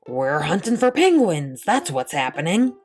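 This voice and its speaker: A woman voicing rough, tough male hunters in a storybook with a growly, menacing delivery. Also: deep voice, sing-song, measured pace, a little mellow, faint music behind, full character voice. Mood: gruff. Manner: rhythmic menacing chanting.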